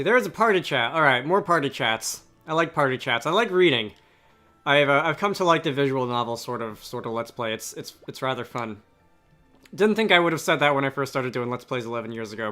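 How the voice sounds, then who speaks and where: A man giving casual Let's Play commentary, talking haltingly and poking fun at himself, and reading game dialogue aloud. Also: medium pitch, animated, bright, forceful tone, soft background music.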